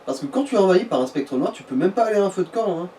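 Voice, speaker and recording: A man's voice: high voice, lively intonation, very fast, mellow. A man casually guiding a friend through a video game, explaining hesitantly with repeated words and crude slang. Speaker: co-commentator guiding the player. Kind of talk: casual gameplay chat, giving directions. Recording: distant mic with background noise.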